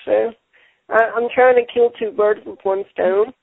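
A man hosting a casual radio show, talking haltingly and repeating words, with many fillers. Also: sits high, fairly even intonation, gravelly, dry recording. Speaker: show host. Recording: tinny phone-line sound.

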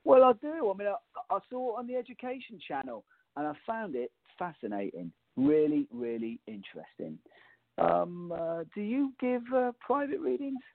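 A man's voice: high-pitched, very animated, normal speed, dry recording. A man playing a defensive, smitten, nerdy friend in a comic radio play, hesitant and argumentative, on a tinny recording. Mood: flustered, smitten. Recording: tinny, narrow phone-like sound.

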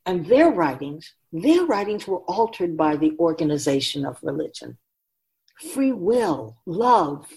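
A woman's voice: on the low side, a little mellow. A woman playing a wise otherworldly keeper of souls in a radio drama, teaching humanity in an emphatic, oracular manner with rhetorical questions. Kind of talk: radio drama dialogue, lecturing.

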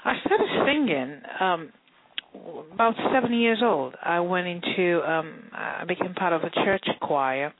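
A woman's voice: on the low side, lively intonation, measured pace, a little hiss or hum. A young woman from Nigeria with a mixed Nigerian and British accent, a singer chatting as an interview guest and laughing often. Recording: tinny phone line.

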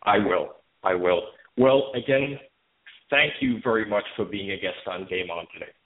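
A man hosting a conversational interview, appreciative and halting, often repeating words as he works toward his question. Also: fairly low pitch. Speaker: show host, interviewer. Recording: narrow phone-like sound.

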